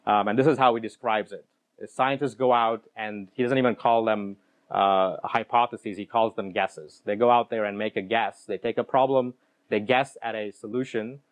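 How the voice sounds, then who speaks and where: A man's voice: fairly low pitch, steady tone, moderate pace. A middle-aged man giving a conference keynote talk in a room with an audience, speaking into a handheld microphone, explaining ideas with frequent restarts and repeated words.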